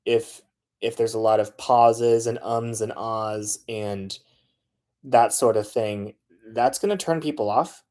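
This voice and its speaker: A man talks through his filmmaking process in a relaxed, conversational way. He often pauses on filler sounds while he works out his next point. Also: lowish voice. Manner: loose and chatty, frequent filler sounds. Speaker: transit video creator. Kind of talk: casual how-to talk.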